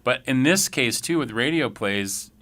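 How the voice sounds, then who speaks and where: A man's voice: mid-range voice, expressive, a little slow, pushed and bright. A man hosting a radio show in a casual, conversational interview style.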